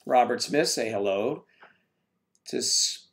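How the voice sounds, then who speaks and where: A man's voice: medium pitch, a little slow, a bit bright. A man hosting a casual livestream from home, rambling as he greets chat viewers and stumbles over their names.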